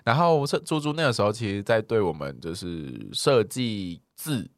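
Low-voiced, lively Taiwanese man chatting. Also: normal speed, no echo, studio quality. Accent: Taiwanese Mandarin. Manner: joking, teasing banter with co-host. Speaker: podcast co-host. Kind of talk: casual chat.

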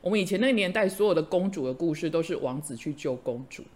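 A middle-aged woman hosting a relaxed conversation. She tells stories with lively, animated energy and breaks into laughter often. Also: lowish voice, fast. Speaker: host, teacher. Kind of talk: casual chat.

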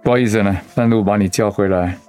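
A man playing a gentle, stoic, ailing middle-aged husband in a radio drama, hesitant and reassuring. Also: fairly low pitch, steady tone, quick pace, smooth, soft background music, lightly acted. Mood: hesitant, apologetic.

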